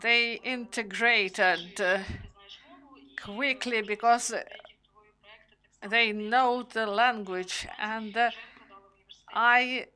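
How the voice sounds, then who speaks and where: A woman in her thirties whose first language is Romanian speaks at a press conference. Her delivery is slow and halting, full of pauses and fillers, and her voice is bright and forward with a slightly higher pitch. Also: steady tone, poor audio. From Ukraine. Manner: halting, many hesitations. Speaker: panel speaker.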